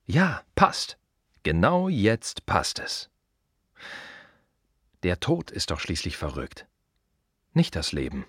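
An actor playing a blunt, brooding gravedigger in a radio drama monologue, speaking colloquially in short, repeated, emphatic phrases. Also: male voice, low-pitched, wildly swooping pitch, slow, long pauses, slightly rough, dry recording, studio quality, full character voice. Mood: defiant, life-affirming. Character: blunt, brooding male gravedigger musing on life and death.

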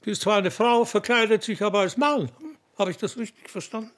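An actor playing a vain, sulky castrato opera star in a radio play, with a haughty, complaining, hesitant manner. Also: male voice, high-pitched, a little fast, fairly bright tone. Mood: sulky, puzzled. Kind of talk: radio drama dialogue.

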